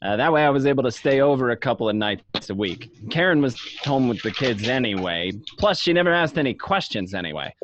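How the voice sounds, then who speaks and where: A man's voice: medium pitch, lively intonation, fairly quick, hardly any pauses, fairly bright tone, a little hiss or hum, close to an everyday voice. A man acting a casual, streetwise gangster who narrates his own life in an amateur radio crime drama. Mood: matter-of-fact. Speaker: actor in an online radio play. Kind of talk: amateur script reading, first-person crime drama. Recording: dull lo-fi home connection.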